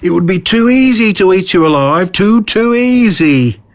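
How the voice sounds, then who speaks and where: A man's voice: high voice, expressive, moderate pace, pitched above the performer's own voice. A man voicing a smug, menacing villain in a storybook reading, pompous and theatrical in his delivery. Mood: menacing, bitter. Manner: pompous, gloating, dramatic.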